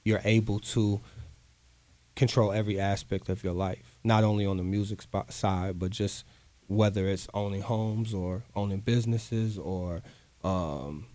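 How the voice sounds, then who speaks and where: A man speaks in a low, mellow voice. He talks earnestly and encouragingly, repeating words to drive his point home. The sound is dry and close to the mic. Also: steady tone, a little slow, no echo. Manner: earnest, motivational, repeats for emphasis. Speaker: guest artist. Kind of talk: casual interview talk.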